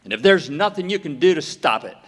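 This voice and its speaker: A man's voice: fairly high pitch, a little fast, breathy edge. A man playing a hotheaded young lieutenant. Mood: defiant. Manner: excitable, defiant. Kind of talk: stage reenactment of old-time radio drama.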